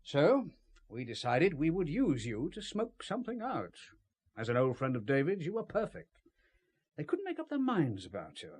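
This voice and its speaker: An actor playing a cagey, ruthless British spymaster in an audio drama, with formal, understated speech and a husky voice. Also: male voice, on the high side, wildly swooping pitch, moderate pace, a little mellow, full character voice. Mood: smooth, calculating.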